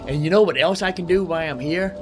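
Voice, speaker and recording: A man's voice: on the high side, fast talker, background noise. A man giving casual gameplay commentary in a folksy, rambling way, explaining things and talking to himself. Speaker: gaming host.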